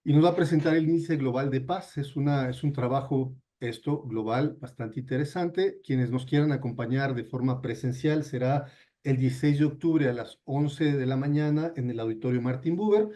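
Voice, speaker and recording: A man with a mellow, warm tone announces upcoming seminar events. He speaks haltingly, restarting phrases and leaning on fillers. His pitch is ordinary for a man. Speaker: seminar organizer making announcements.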